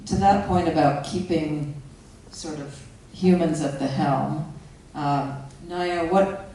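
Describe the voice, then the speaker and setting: Slow moderator in an echoey hall. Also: medium pitch, fairly even intonation, dark tone, recorded from a distance, slight background noise. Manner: slow, measured questions. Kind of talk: conference panel moderation. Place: large conference hall.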